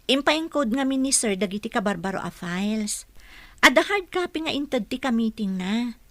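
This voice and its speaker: Woman acting polite office girl, matter of fact, radio drama, expressive, dry recording. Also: on the high side, moderate pace, no room sound. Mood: friendly. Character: polite, diligent young female office coworker.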